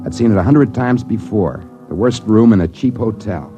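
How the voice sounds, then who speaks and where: A man's voice: on the low side, animated, normal speed, mellow, natural voice. An actor playing a broke man in a cheap hotel, narrating and talking with wry, flustered asides in a 1950s radio drama. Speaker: narrator and lead character. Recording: dull old radio recording with music.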